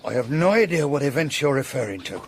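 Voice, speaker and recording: Gravelly, sneering English bully. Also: male voice, medium pitch, big pitch swings, fast. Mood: defensive. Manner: sneering, threatening, blunt. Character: belligerent, sneering quarry owner, scornful bully. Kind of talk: period radio drama acting.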